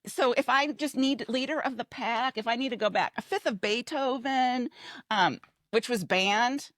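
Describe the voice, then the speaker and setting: A middle-aged American woman co-hosting a podcast, chatting casually in an animated, joking way. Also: a little high, normal speed, no echo, studio quality. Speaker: podcast co-host. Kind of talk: casual, chatty group conversation.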